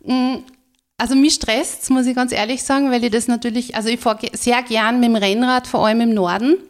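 A woman's voice: a little high, steady tone, a little fast. A woman from rural Styria with an Austrian German accent, a guest who talks candidly and chattily, with many fillers. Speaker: guest, business co-founder. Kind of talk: casual interview talk.

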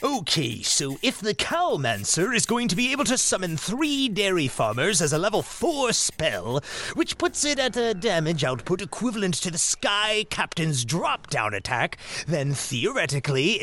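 A man's voice: high-pitched, sharp tone, full character voice. A man playing a meticulous, nerdy game rules tinkerer thinking aloud in an audio drama.

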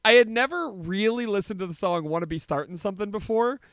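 Male podcast co-host, high and very lively pitch, jokey casual chat, laughs through his lines. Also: fast, no room sound, studio quality. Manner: jokey, laughs often while talking.

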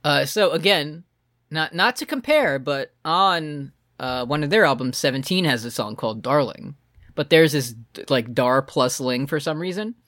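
Female podcast co-host, low voice with a lively pitch, sarcastic, dry close sound. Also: normal speed, no echo. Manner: animated, laughing, sarcastic. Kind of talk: casual music review chat.

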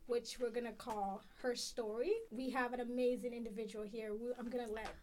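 Higher-voiced young woman hosting. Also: little pitch movement, brisk, a little mellow. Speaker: podcast co-host. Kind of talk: casual podcast interviewing.

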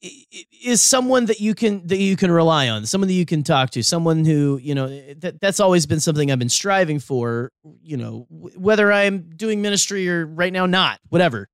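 A man's voice: high voice, expressive, brisk, few pauses, smooth, no echo, clean studio sound. A young man co-hosting a podcast, talking earnestly in a rambling way, with lots of restarts. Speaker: podcast co-host. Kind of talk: casual podcast chat.

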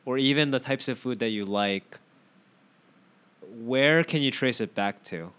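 A man hosting a casual podcast chat, teasing his guest with animated questions. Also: medium pitch, measured pace, smooth. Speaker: podcast host.

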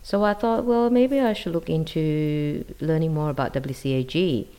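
A woman from Malaysia answering interview questions, hesitant with frequent pauses. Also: deep voice, warm tone, smooth. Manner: hesitant, many ums. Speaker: interview guest.

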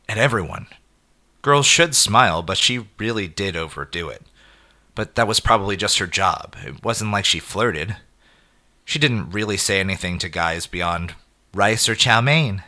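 A man narrating a scripted short story in an expressive, measured way with clipped phrases. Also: on the low side.

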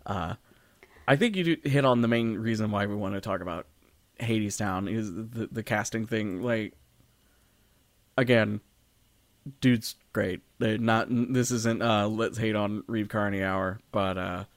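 A man's voice: on the low side, unhurried, no room sound. Rambling man in a dry, close recording. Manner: rambling, self-interrupting, profane. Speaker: podcast co-host. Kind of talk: casual discussion of a stage musical.

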